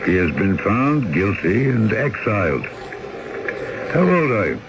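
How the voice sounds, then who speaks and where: A man's voice: lowish voice, unhurried. A weary old boatman. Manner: calm, world-weary, lecturing. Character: weary older male boatman, fatalistic. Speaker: boatman character. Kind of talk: 1970s radio drama acting. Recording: noisy old radio broadcast.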